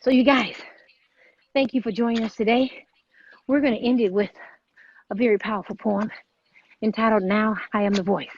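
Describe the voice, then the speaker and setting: A woman's voice: on the high side, steady tone, normal speed, pauses often, breathy edge, no room sound. A woman hosting a motivational live vlog, speaking emphatically and repeating phrases for stress. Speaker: vlog host, fitness motivator. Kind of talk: motivational livestream chat. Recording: muffled, noisy live-stream audio.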